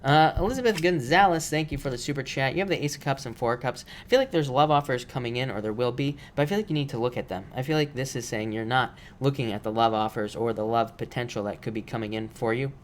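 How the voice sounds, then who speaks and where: A man's mid-pitched voice, roughened by allergies, reading tarot for viewers on a live stream. He speaks loosely and repeats himself, leaning on fillers as he moves from card to card. A faint hiss sits under the recording. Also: fast, hardly any pauses. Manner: casual, repetitive, many fillers. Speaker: tarot reader.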